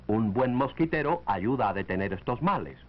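1940s film narrator, man, expressive swooping pitch. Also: normal speed. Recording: dull lo-fi 1940s film soundtrack.

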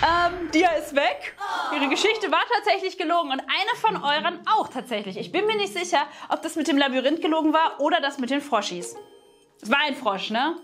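A woman with a high voice plays a guessing game in casual, unscripted talk. She thinks out loud and hesitates between options. Music plays faintly behind her. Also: animated, fairly quick. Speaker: game show contestant guessing the liar. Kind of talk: casual game show chat. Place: indoor studio set, seated at a table.

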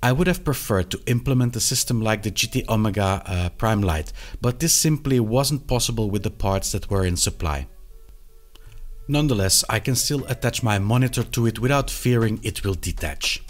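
A man in his thirties presenting a product review to camera, with a hesitant, halting delivery and frequent pauses. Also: on the low side, lively intonation, soft background music. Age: 30s. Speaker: video reviewer of sim racing gear. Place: small indoor hobby room.

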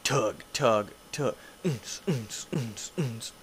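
A young man doing goofy gameplay commentary, tired, his voice deeper late at night, swinging between low mumbles and excited yelps. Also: very slow, a little hiss or hum. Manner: goofy, playful, jumps between mumbling and yelping. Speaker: gaming youtuber.

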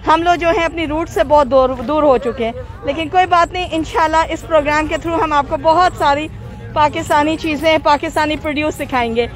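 Pakistani lady live vlogging, really high voice bit muffled by a mask, chatty, outdoor market crowd behind. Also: steady tone, fairly quick, faint music behind. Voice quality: slightly muffled by a face mask. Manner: chatty, playful, switching into English phrases. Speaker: live-stream market vlog host. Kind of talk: casual live vlog chat, greeting viewers and haggling. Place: open-air bazaar, outdoors. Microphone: handheld phone.

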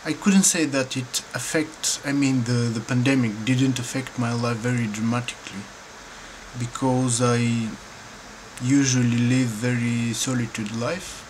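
Brief: middle-aged Bulgarian-accented man, unhurried, pausing often, hum of room noise behind. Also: medium pitch, steady tone. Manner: slow, halting, thoughtful, many pauses and fillers. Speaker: painter and filmmaker. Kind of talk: answering interview questions to camera. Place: dim room indoors at home.

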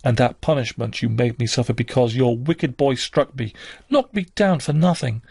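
A man's voice: medium pitch, moderate pace, creaky, a little mellow, husky, dry recording. A man voicing a wounded, defiant young girl in a storybook reading, vehement and accusing with emphatic delivery. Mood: anguished, indignant.

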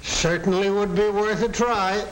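A man's voice: high voice, fairly even intonation, unhurried. An actor playing a blunt American father prodding his grown son with pointed remarks in a 1940 training film. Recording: noisy old 1940 film soundtrack.

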